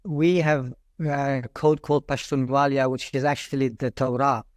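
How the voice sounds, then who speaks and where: A man's voice: medium pitch, steady tone, normal speed, no room sound, clean studio sound. A man interviewing a rabbi, asking long, hesitant questions. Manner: hesitant, many fillers and restarts.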